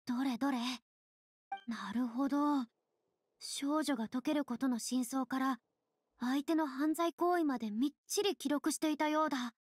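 Actress as a high-voiced, theatrical young woman. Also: no echo, natural voice. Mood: pensive, investigating. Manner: measured, dramatic, slightly lofty. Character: theatrical young woman, solemn, thoughtful, formally spoken. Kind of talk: Japanese video game voice acting.

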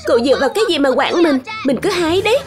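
A woman's voice: high voice, expressive, rapid-fire, music in the background. A woman voicing a defiant, sulky little girl with an indignant, pouting manner in a dubbed cartoon. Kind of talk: dubbed children's cartoon acting.

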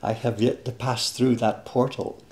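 A man's voice: mid-range voice, fairly even intonation, normal speed, darkish tone, slight background noise. An older man hosting a casual live-stream chat, speaking emphatically and repeating himself for stress. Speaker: craftsman host of a live stream. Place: indoor workshop.

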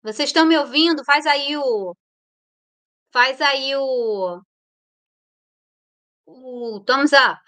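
Bright high-voiced Brazilian woman host. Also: takes it slowly, long pauses. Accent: Brazilian Portuguese. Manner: chatty, playful, greets viewers warmly by name. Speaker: livestream host. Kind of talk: casual live chat greeting viewers.

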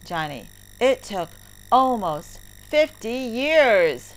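A woman narrating a story lesson for English learners, reading deliberately word by word. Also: a little high, big pitch swings, rough recording. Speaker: teacher narrator. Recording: heavy background noise, clicks.

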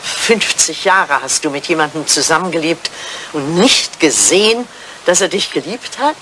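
An older woman acting a proud, candid elderly English lady in a radio play, brisk, genteel and outspoken. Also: sits low, expressive, bright, forceful tone. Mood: reproachful. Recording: slightly noisy old radio play recording.

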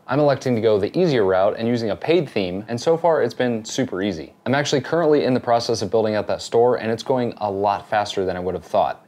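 A man in his thirties hosting a home workshop channel, explaining his projects in a brisk, upbeat, practical way on a lapel mic. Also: mid-range voice, darkish tone. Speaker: woodworking and 3D printing channel host. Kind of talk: casual shop vlog narration. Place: home basement and garage workshop.

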